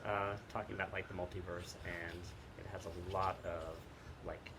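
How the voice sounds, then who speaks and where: Middle-aged man, fairly deep voice, casual book talk, halting delivery, outdoors, clear wind noise on the mic. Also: level tone. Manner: halting, pausing mid-sentence. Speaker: teacher and read-aloud co-host. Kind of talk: casual book recommendations and reading aloud.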